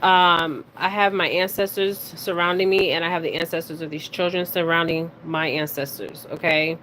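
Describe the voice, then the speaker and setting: A woman hosting a casual spiritual reading, speaking haltingly, stumbling over words and often checking in with her listeners. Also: lowish voice, fairly even intonation, fairly bright tone. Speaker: spiritual reader and card reader hosting her own video. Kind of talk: casual psychic reading, reading news details aloud. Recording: clearly noisy recording with background ambience.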